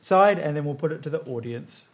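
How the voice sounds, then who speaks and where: A man's voice: a little high, lively intonation, fairly quick. A man with a New Zealand accent hosting a live comedy panel quiz show. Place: live studio with audience. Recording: live show, loud crowd and noise.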